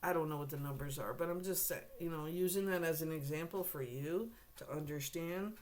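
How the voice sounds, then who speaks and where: A woman doing a casual tarot reading to camera, hoarse and losing her voice, clearing her throat often and speaking to the viewer bluntly and directly. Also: low voice, brisk, a little mellow. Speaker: tarot reader.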